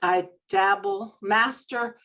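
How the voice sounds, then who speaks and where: A woman's voice: mid-range voice, slow pace, a bit bright. A woman talking casually as a guest, with a wry, self-deprecating manner. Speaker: guest author and physician. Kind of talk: casual chat.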